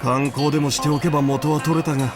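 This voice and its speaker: A male actor as an efficient, irritable aide in a Japanese game. His fairly high voice is clipped and businesslike, deadpan even when grumbling, with music underneath. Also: quick pace, natural voice. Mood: dry, grumbling. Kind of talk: Japanese video game voice acting.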